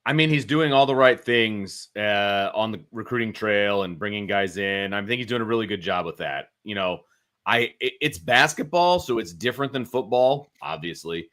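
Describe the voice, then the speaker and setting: A man's voice: lowish voice, animated, moderate pace, fairly bright tone. An American man co-hosting a sports talk show, giving casual analysis and informally talking through his reasoning.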